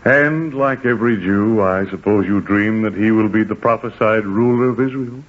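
An actor playing a world-weary, mildly mocking Roman governor in a measured, condescending 1940s radio drama style. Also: male voice, on the low side, lightly acted. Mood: wry condescension. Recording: tinny 1940s radio broadcast.

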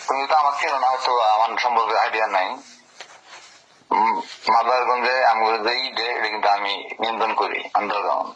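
A man speaks quickly and forcefully, pressing his points and repeating them. The sound is a muffled phone recording with clear noise over it. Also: lowish voice, fairly even intonation. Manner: domineering, insistent, repetitive. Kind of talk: informal phone conversation. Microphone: telephone.

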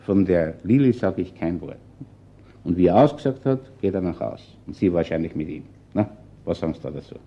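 An actor playing a calm, shrewd middle-aged police chief inspector with an Austrian accent, speaking in a measured, persuasive way in a radio play. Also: male voice, deep voice, husky, full character voice. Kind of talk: radio play acting, natural dialogue. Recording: dull band-limited old radio recording.

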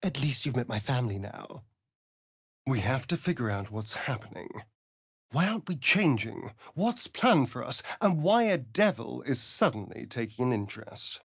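A man's voice: mid-range voice, big pitch swings, moderate pace, gravelly, slightly rough, no room sound, studio quality, heavily acted. A man playing a vain, sardonic, centuries-old vampire rogue in a video game, with an arch, theatrical delivery. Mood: bitter, brooding. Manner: arch, flamboyant, drawling, mocking. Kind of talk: video game character acting.

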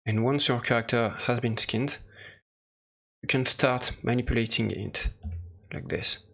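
Guy with a creaky voice walking thru software, kinda halting, mid pitch. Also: steady tone. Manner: hesitant, halting explanation. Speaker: software tutorial presenter. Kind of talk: unscripted screen-recorded tutorial narration.

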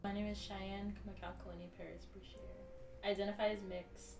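A young woman student from the Pacific Northwest, speaking reflectively in an interview with many fillers and pauses. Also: mid-range voice, level tone, echoey room, recorded from a distance, rough recording. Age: young adult. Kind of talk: casual interview. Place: bedroom, sitting on a bed.

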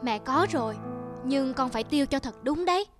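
A woman playing a poor, self-sacrificing elderly mother in an animated moral tale, patient, plaintive and weary. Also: high voice, brisk, faint music behind. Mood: tired, gentle.

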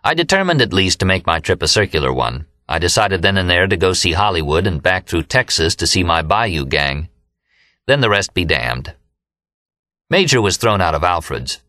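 A man's voice: sits low, sing-song, moderate pace, sharp tone, no echo. An American man reading a first-person novel as an audiobook narrator, close to the microphone. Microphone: close studio microphone, dry.

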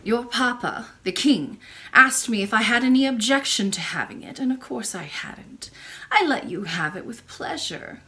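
A woman voicing a very old, kindly and mysterious royal great-great-grandmother in a storybook, speaking in a calm, measured way. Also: a little high. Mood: calm, knowing. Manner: measured, gently assured.

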